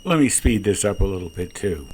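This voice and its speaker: A man who is a pilot, giving calm explanatory commentary on his own flight from the cockpit of a small plane. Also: low-pitched, a little fast. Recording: noisy small-plane cockpit, radio transmissions.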